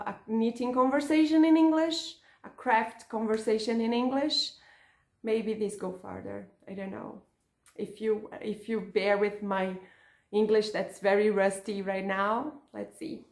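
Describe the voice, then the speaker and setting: A Portuguese-accented woman whose first language is Portuguese talks slowly and casually about her knitting. She often stops and restarts words while reaching for the English. Her voice has a roomy echo around it. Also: mid-range voice. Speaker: knitting podcaster and yarn shop owner. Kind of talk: casual craft vlog chat.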